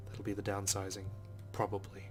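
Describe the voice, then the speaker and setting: A low-voiced man reads visual novel narration aloud for a let's play. He moves along quickly with little rise or fall in his tone, while game music plays behind him. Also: monotone.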